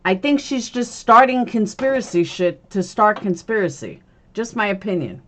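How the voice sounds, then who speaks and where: A woman's voice: mid-range voice, expressive, normal speed, a bit bright. A woman giving casual reaction commentary in a blunt, scornful manner, swearing freely. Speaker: commentator reacting to a played clip.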